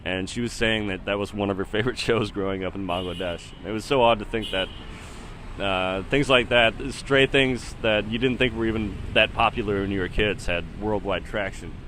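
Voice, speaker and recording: A man's voice: lowish voice, moderate pace, a bit bright, slight background noise. A man in his thirties giving casual, rambling spoken commentary in a hesitant, musing way that often trails off. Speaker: solo podcast host.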